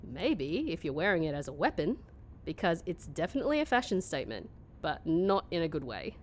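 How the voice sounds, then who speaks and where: A woman's voice: deep voice, sing-song, brisk, a little hiss or hum. Snarky, sarcastic young woman, swooping delivery actress. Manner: sarcastic, mock-sweet then dry. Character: sardonic, snarky, grumpy hungover young woman. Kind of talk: comedic fantasy audio drama acting.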